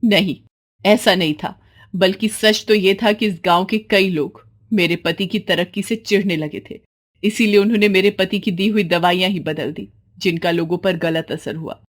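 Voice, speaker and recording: A woman's voice: mid-range voice, steady tone, normal speed, natural voice. A woman voicing a wronged, middle-aged village widow in a story, speaking in a pointed, emotional, dramatic way. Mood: indignant. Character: wronged, bitter village widow and mother, defiant.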